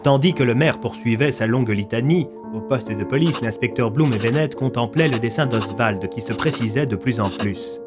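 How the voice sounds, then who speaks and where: A man's voice: medium pitch, normal speed, few pauses. Male narrator for serialized fiction: mid-range voice, scripted dramatic storytelling, strong musical underscore. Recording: loud music bed.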